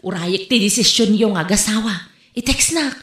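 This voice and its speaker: A woman's voice: medium pitch, moderate pace, fairly bright tone, lightly acted. A woman with a Filipino accent playing a brash, greedy pig trader, sassy and pushy, in an audio drama. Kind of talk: amateur audio drama dialogue. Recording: roomy echo, faint music behind.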